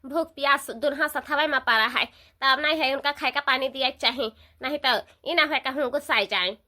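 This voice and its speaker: A woman's voice: high voice, normal speed, bright, forceful tone. A woman voicing a dutiful, chatty village daughter-in-law in a cartoon, with an eastern Uttar Pradesh accent and an emphatic, rambling delivery. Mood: explaining, complaining. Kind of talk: Hindi-belt cartoon dialogue.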